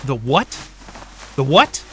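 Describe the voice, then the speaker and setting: Cranky sarcastic scientist in an audio drama, high sharp voice that swings all over, exasperated. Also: male voice, big pitch swings, takes it slowly, slight background noise, heavily acted. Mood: sarcastic, baffled. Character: Wayne, irritable sarcastic astrophysicist, adult man. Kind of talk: naturalistic audio drama acting.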